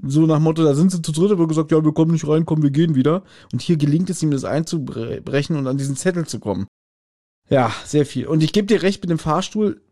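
A man's voice: on the high side, fast talker, warm tone. A man co-hosting a podcast, recapping a story in casual, conversational talk and often checking for agreement. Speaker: podcast co-host. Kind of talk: casual chat recapping an audio drama.

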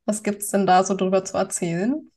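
A woman's voice: mid-range voice, a little fast, warm tone. A young woman hosting a podcast, asking chatty, curious follow-up questions. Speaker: podcast host. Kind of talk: casual podcast interview.

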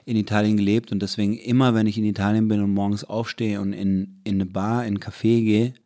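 Man from Germany, casual rambling talker, somewhat low pitch. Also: level tone, fairly quick, warm tone. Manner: rambling, many restarts and fillers. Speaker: conversation partner. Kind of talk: casual chat.

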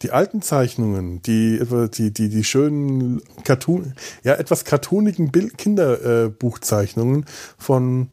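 A man speaking casually as a podcast host, thinking aloud in a halting way and repeating words as he searches. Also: medium pitch, lively intonation, mellow.

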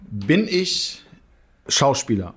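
A man explaining the rules of a guessing game, then playing it in relaxed, joking chat, laughing often. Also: medium pitch, very slow, breathy edge.

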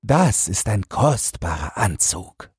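A man voicing a fawning royal courtier in a fairy tale, gushing with drawn-out admiration. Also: fairly low pitch, sing-song, darkish tone, breathy edge, no room sound, clean studio sound, pitched above the performer's own voice, heavily acted. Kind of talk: fairy-tale audiobook character voice.

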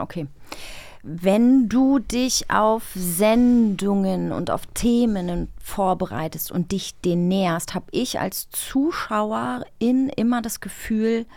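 A woman hosts a casual interview in a very dry recording. Her pitch is in the middle range for a woman, and she speaks conversationally as she puts questions to a guest. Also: slow pace, creaky, darkish tone, no room sound. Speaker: podcast host, interviewer.

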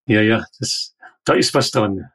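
Husky older man explaining calmly. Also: lowish voice, unhurried. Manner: calm, explanatory, matter-of-fact. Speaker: ophthalmologist guest. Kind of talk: expert interview.

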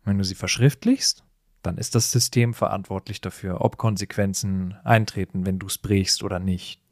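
A man co-hosting a casual, humorous podcast conversation, explaining things in an animated way and often chuckling as he talks. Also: deep voice, normal speed, no echo, clean studio sound. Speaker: podcast co-host.

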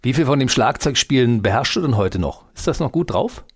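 A man's voice: mid-range voice, expressive, brisk, breathy edge. A man from Berlin with a German accent, hosting a casual interview. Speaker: interviewer, host.

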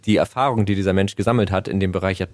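A man's voice: sits low, fairly even intonation, very fast, a little mellow, no room sound. A man hosting an interview, asking long rambling questions with fillers. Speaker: podcast host. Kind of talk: interview conversation.

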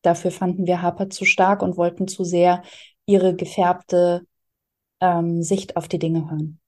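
A woman's voice: fairly low pitch, flat delivery, measured pace. A woman writer explaining her work in conversational interview answers. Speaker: interviewed audio drama writer.

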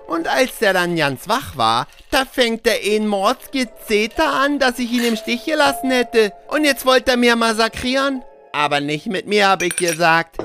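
A man's voice: high voice, a bit bright. A man playing a naive, self-pitying young miller's son in a fairy-tale audio drama, rambling in colloquial dialect grammar. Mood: animated, indignant. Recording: music under the voice. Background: music.

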